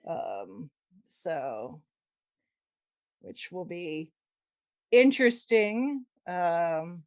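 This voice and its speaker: A woman hosts a podcast in a casual, playful tone. Her pitch swings around in a lively way while she takes her time over the words. She sounds wry as she shares fandom news. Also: extremely slow, dry recording. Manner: wry, playful, chuckles through talk. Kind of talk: casual chat.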